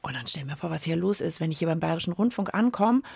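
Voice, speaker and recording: A woman's voice: sits low, animated, quick pace, creaky, darkish tone, slightly rough, no echo. A woman co-hosting a children's science show, bantering and storytelling casually and playfully in a studio. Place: radio studio.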